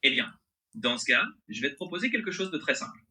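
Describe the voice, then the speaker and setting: Bright, animated male AI assistant voice. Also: fairly high pitch, quick pace. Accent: mixed French with American-sounding intonation. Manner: agreeable, accommodating. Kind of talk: conversational assistant replies.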